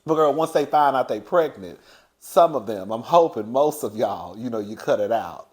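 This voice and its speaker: A man in his thirties hosting a gossip vlog, chatty and animated, laughing often as he talks. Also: mid-range voice, moderate pace. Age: 30s. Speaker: host.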